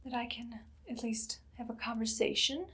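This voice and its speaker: A woman playing an evil, menacing queen with dry humor in a soft, whispered ASMR roleplay, giving slow commands. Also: a little high, steady tone, slightly rough. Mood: haughty, controlled.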